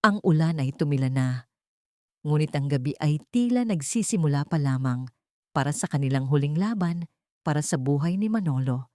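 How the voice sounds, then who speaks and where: Female audiobook narrator, low-pitched and dark-toned, solemn dramatic storytelling. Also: animated, moderate pace, no echo, clean studio sound.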